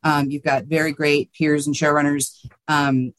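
A woman's voice: low voice, level tone, unhurried, fairly bright tone, dry recording. A woman answering interview questions as a guest writer, thoughtful and informal, often checking in with the listener. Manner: thoughtful, informal, peppered with you know and right.

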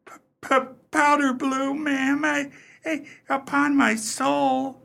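Lady playing a scared beadle in a radio play, highish voice, stutters a lot, slow n halting, very humble and folksy. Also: flat delivery, creaky, a bit bright, heavily acted. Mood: frightened, insistent. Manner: stammering, halting, folksy, deferential. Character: frightened, flustered beadle who tends the family vault.

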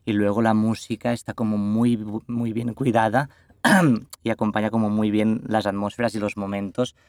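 A man with a Catalan accent, a musician speaking in a halting way in an interview. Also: lowish voice, level tone, a little mellow, no echo. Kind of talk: interview conversation.

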